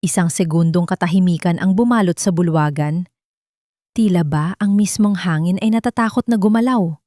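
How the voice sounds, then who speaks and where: A woman narrates an audio drama with a dramatic, scripted delivery. Her pitch is medium for a woman. The recording is very dry, with hardly any room in it. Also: darkish tone, no echo.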